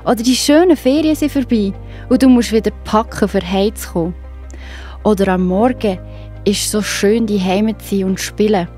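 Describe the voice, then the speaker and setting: A woman with a Swiss German accent narrating a children's Bible story, addressing young listeners directly in an expressive storytelling manner. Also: mid-range voice, normal speed, faint music behind.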